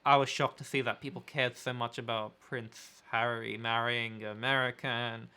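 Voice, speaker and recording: Young london guy just chatting, bright clear voice, relaxed, kinda rambly. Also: mid-range voice, moderate pace. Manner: relaxed, chatty, searches for words. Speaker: photographer and vlogger, co-host. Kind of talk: casual Q&A chat with partner.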